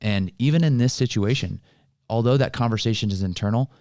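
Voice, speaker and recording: A man's voice: fairly low pitch, fairly quick, a little mellow, dry recording, studio quality. A man hosting a casual motivational conversation, explaining things in an encouraging, coaching way. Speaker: podcast host.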